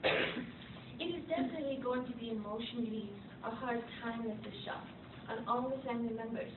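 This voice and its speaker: A woman playing a patient, reassuring nurse in an educational puppet show, speaking in a formal, deliberate, instructive way. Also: a little high, natural voice. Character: patient, reassuring nurse explaining illness to a family. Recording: tinny, roomy, distant, noisy amateur recording.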